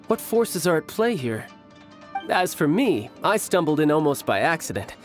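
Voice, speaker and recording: A cocky young adventurer from a video game, smooth-talking and playful. His pitch moves freely as he teases, over a faint bed of music. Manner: playful, teasing, self-assured. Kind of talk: game cutscene acting.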